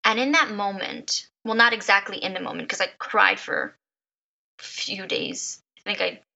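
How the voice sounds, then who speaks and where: A woman in her thirties hosting her own podcast, telling a personal story with emotion. Her voice is a little raspy from crying, and she repeats words and laughs often. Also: mid-range voice, moderate pace, sharp tone, highs cut off. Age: 30s. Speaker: podcast host.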